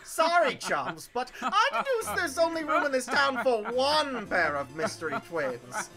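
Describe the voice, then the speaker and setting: A woman's voice: sits high, sing-song, unhurried, full character voice. A woman voicing a smug, gee-whiz boy detective in a cartoon comic dub, with music behind. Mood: condescending. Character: chipper, smug boy detective with old-fashioned slang.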